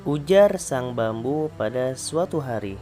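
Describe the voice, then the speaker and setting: Calm male teacher reading a fable. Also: medium pitch, a little slow, faint music behind. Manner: calm, clear storybook narration. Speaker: primary school teacher. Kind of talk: reading a fable aloud for a remote class.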